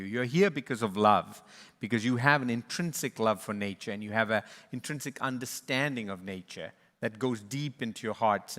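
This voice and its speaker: A man's voice: medium pitch, bright, forceful tone, long reverb tail. A man moderating a panel and giving closing remarks, earnest and reflective, with frequent restarts. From born in Sri Lanka, raised in East and West Africa. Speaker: panel moderator, conservation charity leader.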